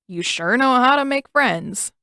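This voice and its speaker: A woman's voice: high-pitched, expressive, measured pace, pushed and bright, clear, resonant tone, no echo, voice pushed up, full character voice. A woman acts out a teenage boy with a smug, sardonic streak. He teases like an older brother in a fantasy audiobook, recorded close and dry. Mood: mocking. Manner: sardonic, teasing.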